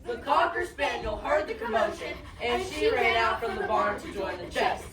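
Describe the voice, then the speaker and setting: A young female narrator tells a scripted story with theatrical emphasis. Her high voice swings widely in pitch. She is recorded from afar in an echoey space full of crowd noise. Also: big pitch swings, normal speed. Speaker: narrator in student reader's theatre. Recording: echoey hall, crowd noise, distant mic.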